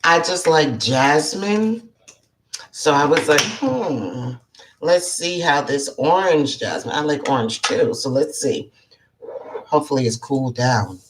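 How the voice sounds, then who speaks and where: Slow low-voiced woman with allergy-froggy throat. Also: lively intonation, background noise. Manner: chatty, greets viewers by name, clears throat often. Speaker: psychic life coach hosting a live show. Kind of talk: casual live-stream chat.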